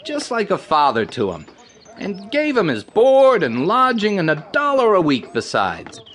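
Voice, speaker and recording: A man's voice: high-pitched, huge pitch swings, unhurried, fairly bright tone, heavily acted. An actor playing a sly, falsely fatherly middle-aged circus candy vendor in an audio drama, with folksy, persuasive patter. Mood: self-pitying, wheedling. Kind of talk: old-time audio drama dialogue.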